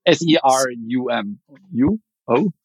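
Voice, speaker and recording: A man's voice: medium pitch, expressive, slow, slightly rough, no room sound, low-quality recording. A man with an Israeli accent, a startup founder answering interview questions in a rambling way, full of fillers and restarts.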